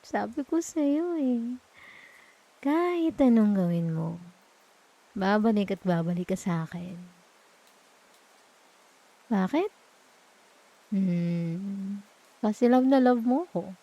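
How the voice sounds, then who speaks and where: A woman with a Filipino accent playing a sweet, teasing, comforting girlfriend in a soft, intimate ASMR roleplay. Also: mid-range voice, takes it slowly, pauses often, muffled recording. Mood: playful teasing. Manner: soft, tender, playful, soothing.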